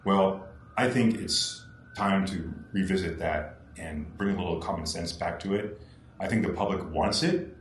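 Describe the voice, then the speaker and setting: A man's voice: low-pitched, measured pace, echoey room, a little hiss or hum. A man talking as a political candidate on a podcast, earnest and repeating his points for emphasis. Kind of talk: podcast discussion.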